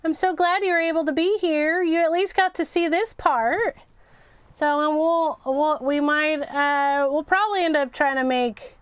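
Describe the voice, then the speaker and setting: High-voiced woman chatting through a craft livestream. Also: dry recording. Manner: chatty, self-narrating, repeats words. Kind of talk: casual live craft chat, thinking aloud.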